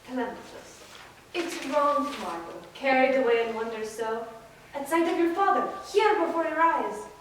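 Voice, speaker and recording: A woman's voice: sits high, animated, normal speed, fairly bright tone, slight background noise. A woman playing a proud, weary hero father in a solemn, emphatic stage reading of epic poetry. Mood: proud, reassuring. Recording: distant, very echoey room.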